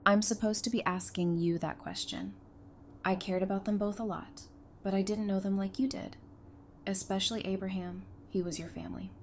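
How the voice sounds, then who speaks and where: A woman acts out a caring young survivor who teases gently. Her voice is medium in pitch and natural, as in an audio drama, with faint ambient noise behind it. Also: steady tone, normal speed, lightly acted. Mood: tender. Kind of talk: fan audio drama acting.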